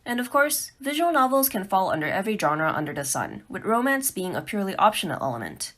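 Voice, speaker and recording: A woman's voice: mid-range voice, very animated, quick pace, a bit bright. A woman presenting a scripted, pre-recorded instructional talk as an amateur developer.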